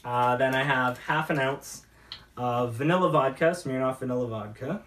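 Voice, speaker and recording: A man's voice: mid-range voice, moderate pace, a bit bright. A chatty, enthusiastic man hosting a casual talk show, bantering as he mixes drinks. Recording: roomy, distant mic with noise and clicks.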